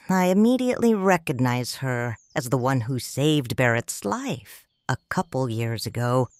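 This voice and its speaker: A woman's voice: extremely low pitch, no room sound. A woman narrating a first-person story audiobook in a grave, tender way, close to the mic.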